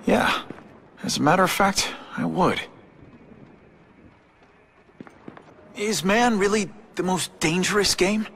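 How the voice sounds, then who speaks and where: Bright-voiced, wry young news photographer. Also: male voice, high voice, moderate pace, gravelly, slight background noise. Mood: careful, wry. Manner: conversational, slightly hesitant, wry. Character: young, curious, wry male news photographer interviewing a vigilante. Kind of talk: video game cutscene acting.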